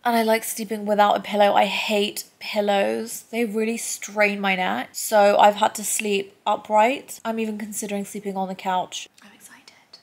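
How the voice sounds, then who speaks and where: Congested young woman with a creaky voice. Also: mid-range voice, flat delivery, moderate pace. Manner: chatty, candid, rambling. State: congested, stuffy nose. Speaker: vlogger. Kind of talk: casual recovery vlog to camera. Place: bedroom, indoors.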